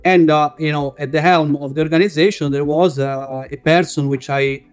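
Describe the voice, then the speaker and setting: A man from northern Italy with an Italian accent, speaking haltingly as a guest on a panel discussion, with frequent filler sounds. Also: fairly high pitch, fairly even intonation, soft background music. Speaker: guest, engineering manager.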